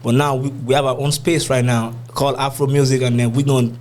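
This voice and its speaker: A young man with a Nigerian accent speaks as a guest on a music podcast. His talk is colloquial and casual, in a medium-pitched voice. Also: little pitch movement, fast, darkish tone, a little hiss or hum. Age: young adult. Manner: colloquial, uses "you know what I'm saying". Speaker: musician being interviewed.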